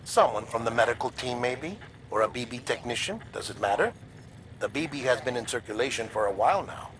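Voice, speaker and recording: A man plays a talkative medical expert in a game cutscene. He explains in a mid-pitched voice with casual wryness and some evasiveness, over faint background noise. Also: lively intonation, fairly quick, a bit bright, natural voice. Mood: explanatory, slightly defensive. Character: chatty, evasive male medical expert briefing a colleague. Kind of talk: conversational video game cutscene acting.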